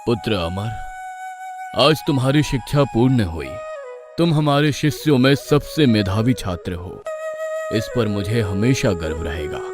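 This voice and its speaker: A man voicing a kindly old village guru in a moral-story cartoon, calm, formal and benevolent. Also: on the low side, unhurried, music in the background. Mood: solemn, proud.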